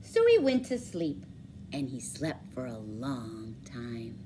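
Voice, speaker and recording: A woman reading a children's story aloud expressively, with dramatic emphasis and drawn-out words. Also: very deep voice, sing-song, mellow, a little hiss or hum. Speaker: storybook reader for schoolchildren.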